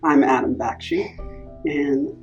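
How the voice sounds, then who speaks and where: A young man, a college junior raised in Boston, introducing himself deliberately. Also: medium pitch, sing-song, lo-fi sound, soft background music. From Boston, USA. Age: young adult. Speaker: student interviewee.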